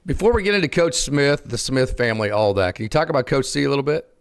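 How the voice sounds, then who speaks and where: A man's voice: medium pitch, very animated, quick pace, clean tone. A man hosting a casual interview, asking questions and often cutting in with short agreements. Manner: enthusiastic, affirming interjections. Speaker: host.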